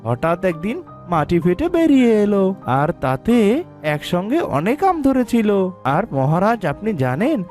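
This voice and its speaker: A woman's voice: medium pitch, big pitch swings, normal speed, dark tone, soft background music, close to an everyday voice. A woman voicing a clever small child street seller in a cartoon story, coaxing and persuasive. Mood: coaxing, salesy. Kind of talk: cartoon moral story dialogue.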